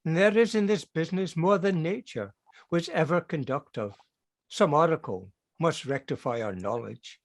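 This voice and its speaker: A man's voice: on the high side, animated, moderate pace, no echo. A man playing an astonished king in a Shakespeare play reading. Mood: amazed.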